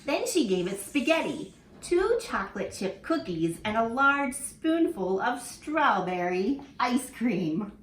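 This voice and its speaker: A woman teacher reading a picture book aloud to children in an animated way, stopping to ask them questions. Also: on the high side, takes it slowly, few pauses, slight background noise. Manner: animated, asks the listener questions. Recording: echoey room with faint ambience.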